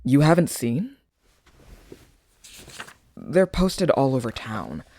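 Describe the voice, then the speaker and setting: An actor playing an anxious, caring young noble in an audio drama, speaking earnestly. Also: male voice, mid-range voice, wildly swooping pitch, takes it slowly, gravelly, mellow, dry recording, full character voice. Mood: worried.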